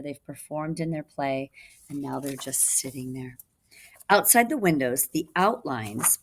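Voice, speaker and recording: A woman reading teacher giving a children's read-aloud, instructive, with explanatory asides to young listeners. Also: deep voice.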